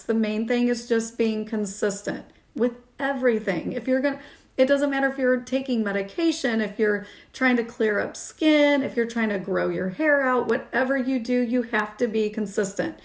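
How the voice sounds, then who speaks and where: A woman in her thirties with a slightly high voice that has some morning rasp in it. She talks informally, as in a home beauty review, chatting along while busy with her hands. It is recorded on a phone at arm's length in a small room. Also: a little mellow. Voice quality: raspy morning voice. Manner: chatty, informal, talking while applying makeup. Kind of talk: casual product review to camera.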